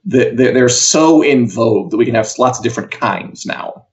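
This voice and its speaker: A man's voice: mid-range voice, expressive, normal speed. A man co-hosts an entertainment news show, chatting casually with a wry, conversational manner.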